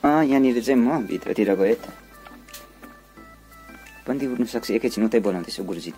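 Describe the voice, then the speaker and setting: A man's voice: lowish voice, normal speed, mellow. Young guy chatting on his phone cam around a hill village house, talks to family as he films, faint music under it. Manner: chatty, mixes asides to family with narration to camera. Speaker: village vlogger. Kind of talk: casual vlog narration. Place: rural hillside house and village, indoors and out.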